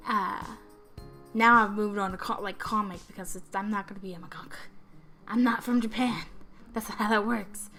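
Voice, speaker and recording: A young woman with a hoarse, raspy voice, still recovering from being sick, rambling unscripted in a vlog and laughing often. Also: medium pitch, fairly even intonation, normal speed. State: hoarse after illness. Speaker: video creator. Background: loud music.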